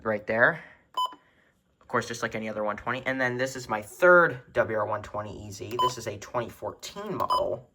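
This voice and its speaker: A man's voice: medium pitch, animated, normal speed. A man giving a casual, chatty show-and-tell narration at home as an enthusiastic hobbyist, filming with a handheld camera. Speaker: weather radio collector presenting his collection. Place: indoor room at home. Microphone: handheld camera, speaker off screen. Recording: faint noise, clicking of buttons.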